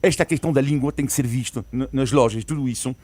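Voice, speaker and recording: A man's voice: medium pitch, quick pace, dry recording. A man speaking as a commentator on a studio panel, emphatic and prone to repeating his points. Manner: animated, emphatic, repeats himself.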